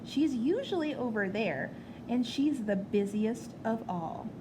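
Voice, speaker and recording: Woman reading as gentle, playful storybook dad. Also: on the high side, expressive, slow pace, noisy background. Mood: reassuring. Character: gentle, playful father, a tired night cleaner, storybook.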